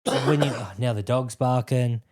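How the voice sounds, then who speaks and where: A man's voice: medium pitch, steady tone, moderate pace, a little mellow. An Australian man hosting a podcast, asking questions in an informal, bantering, chatty way. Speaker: podcast host. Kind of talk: casual chat, interviewing.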